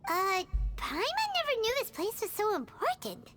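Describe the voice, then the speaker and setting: A woman voicing a tiny, excitable, childlike fairy girl in a video game, squeaky and exclamatory. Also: moderate pace, over music, full character voice. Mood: worried, flustered. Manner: exclamatory, third-person cutesy talk.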